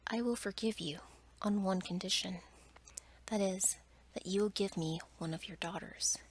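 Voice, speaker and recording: A woman voicing a stern, angry male beast from a storybook in a breathy, whispered, commanding and formal manner. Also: lowish voice, steady tone, brisk, a little hiss or hum, natural voice.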